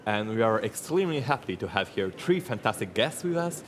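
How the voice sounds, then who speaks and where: A man co-hosting a panel talk, asking brisk and encouraging questions. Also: fairly low pitch, sing-song. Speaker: co-host.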